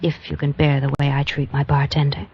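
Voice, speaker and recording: A woman's voice: sits low, completely flat delivery, a little fast. An actress playing a cold, seductive female crime boss in a vintage radio noir drama, cool and imperious. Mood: cool, scheming. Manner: cool, imperious, clipped orders. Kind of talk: 1940s radio noir acting, with first-person narration. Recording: dull old radio recording, noisy.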